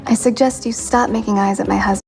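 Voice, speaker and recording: A woman's voice: mid-range voice, fairly even intonation, a little fast, vocal fry, over loud music, lightly acted. An actress playing a jealous wife who coldly warns another woman away from her husband. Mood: menacing. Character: jealous woman warning off a rival.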